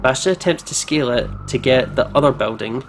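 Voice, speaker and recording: A man's voice: medium pitch, lively intonation, brisk. A man hosting a visual novel playthrough, reading the narration and adding casual commentary. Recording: clear siren and traffic noise behind. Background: game ambience of sirens, vehicles.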